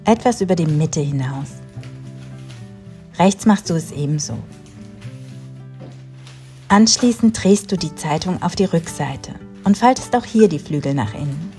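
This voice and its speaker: A woman in her thirties calmly narrating a home cleaning routine in a voice-over, giving step-by-step tips straight to the viewer. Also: on the low side, a little slow, pauses often, faint music behind. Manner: calm, instructive, talks directly to the viewer. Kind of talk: calm scripted voice-over narration.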